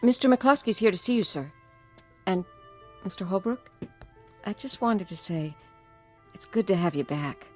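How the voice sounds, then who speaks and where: An actress playing a polite, deferential, slightly shy secretary in an audio drama. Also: female voice, medium pitch, expressive, moderate pace, long pauses, faint music behind, close to an everyday voice.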